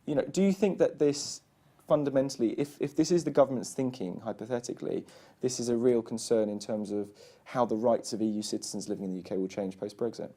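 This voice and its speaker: A male interviewer talks quickly in a warm, mellow tone. He asks long questions in a studio and restarts his phrases as he goes. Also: medium pitch.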